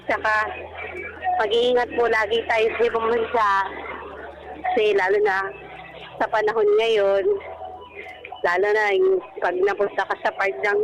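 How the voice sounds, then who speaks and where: A woman's voice: on the high side, unhurried, pauses often, noisy background. A Filipino woman speaking politely and personally as a caller on a radio phone-in show. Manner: polite, deferential speech. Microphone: phone call. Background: background music.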